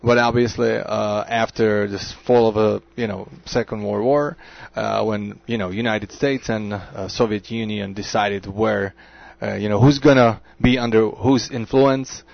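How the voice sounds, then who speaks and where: A man from the Czech Republic with a Czech accent, chatting as a guest on a radio show, halting and full of fillers. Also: lowish voice, steady tone, vocal fry, dull audio. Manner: halting, filler-heavy, chuckling. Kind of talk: casual radio chat.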